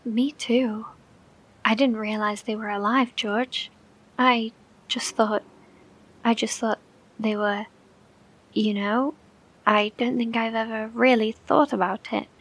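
An actress playing an anxious, caring bunker survivor in a horror audio drama, speaking softly, gently and hesitantly. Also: female voice, on the high side, fairly even intonation, slow pace, pauses often, breathy edge, exaggerated voice. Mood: uneasy.

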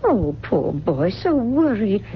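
A woman's voice: medium pitch, sing-song, slow pace. Sweet old mother in a 70s radio drama, lilting n hesitant, muffled hissy sound. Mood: fond, mildly concerned. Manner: gentle, hesitant. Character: fond elderly mother, genteel and fluttery. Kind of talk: 1970s radio drama acting.

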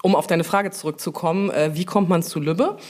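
A woman's voice: on the low side, fairly quick, a little mellow. A middle-aged woman talking casually and explaining things as an interview guest, often feeling her way through sentences. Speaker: brand manager and interview guest.

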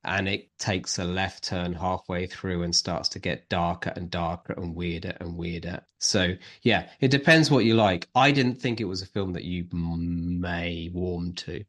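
A man's voice: low voice, steady tone, a little slow, hardly any pauses, a bit bright. A man co-hosting a casual film podcast, describing films enthusiastically, with a lingering chesty cough. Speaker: podcast co-host, film reviewer. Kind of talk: casual film review chat.

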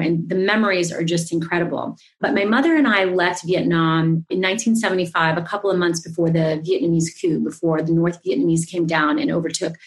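A woman from Singapore, a podcast guest, telling her story in an effusive, animated way with frequent restarts. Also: lowish voice, low-quality recording. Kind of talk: interview, personal storytelling.

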